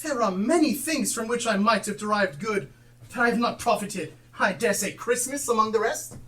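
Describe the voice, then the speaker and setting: A woman's voice: a little high, moderate pace, a bit bright, natural voice. A woman playing a cheerful, good-hearted young nephew on stage, earnest and exclamatory. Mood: earnest, heartfelt. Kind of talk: theatrical stage acting. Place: theatre stage, live performance. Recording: distant live stage recording with noise.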